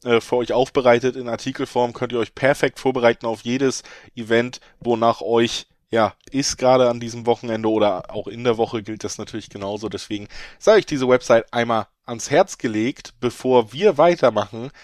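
A man co-hosting a football betting podcast, slightly hoarse from a cold, talking in a rambling, emphatic way and often correcting himself. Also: medium pitch, fairly even intonation, moderate pace, no echo, clean studio sound. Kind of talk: casual football analysis chat.

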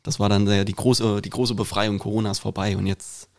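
Youngish guy w low voice cohosting a podcast, casual, trips over and repeats words. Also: flat delivery, fairly quick, a little mellow. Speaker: podcast co-host.

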